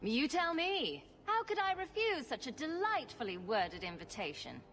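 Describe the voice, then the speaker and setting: A woman's voice: sits high, sing-song, normal speed, fairly bright tone, big-room sound, a little hiss or hum. An actress playing a sarcastic young woman rogue in a video game, with a dry, mocking, nonchalant manner. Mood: flippant.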